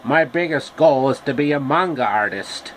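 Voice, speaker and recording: A man voicing a cowardly lion from a storybook, blustering yet sheepish, with drawn-out, repetitive phrasing. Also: mid-range voice, lively intonation, slight background noise, close to an everyday voice.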